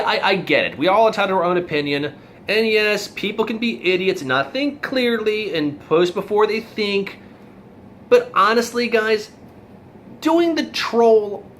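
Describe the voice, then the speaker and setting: A man's voice: fairly high pitch, a bit bright, slight background noise. A young man ranting in a blunt, rambling monologue about online reactions, exasperated and plain-spoken. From Florida. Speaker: vlog host.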